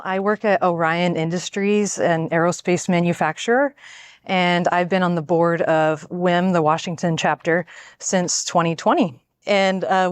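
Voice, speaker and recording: A woman's voice: lowish voice, clean tone, no room sound. A woman, hosting a panel informally as its moderator.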